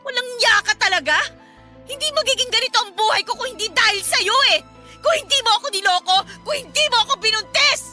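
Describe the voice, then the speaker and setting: A woman's voice: very high voice, lively intonation, quick pace, bright, forceful tone, faint music behind, exaggerated voice. A woman playing a desperate young single mother in an emotional, confrontational radio drama, pleading and accusing. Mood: hurt, furious. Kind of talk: Filipino radio drama acting.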